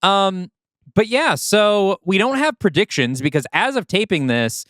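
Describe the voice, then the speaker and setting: Animated, smooth-voiced man podcasting. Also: sits high, unhurried, no echo. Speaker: podcast co-host. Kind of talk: casual chat about writing a movie script.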